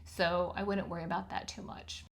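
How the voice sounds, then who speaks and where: Chatty high-voiced woman with some vocal fry. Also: little pitch movement, normal speed. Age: 50s or older. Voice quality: high-pitched voice. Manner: chatty, frank, many ums. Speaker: channel host teaching self-publishing. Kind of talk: casual instructional talk to camera.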